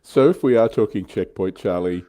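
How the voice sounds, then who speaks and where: A man's voice: fairly low pitch, normal speed, mellow. A man co-hosting a hobby livestream, chatting casually in a thoughtful, slightly hesitant way.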